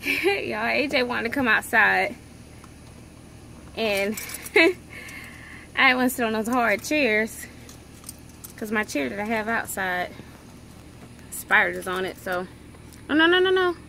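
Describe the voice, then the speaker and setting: A woman's voice: fairly high pitch, animated, takes it slowly, creaky, pauses often, bright, forceful tone, a little hiss or hum. A woman, chatting casually and informally to camera like a family vlogger. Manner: informal, folksy, conversational. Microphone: phone camera at arm's length.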